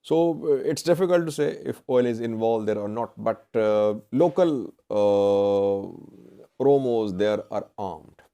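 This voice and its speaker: A man presenting news commentary to viewers in halting, deliberate phrasing, often correcting himself. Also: lowish voice, warm tone, clear, resonant tone. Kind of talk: semi-scripted news commentary.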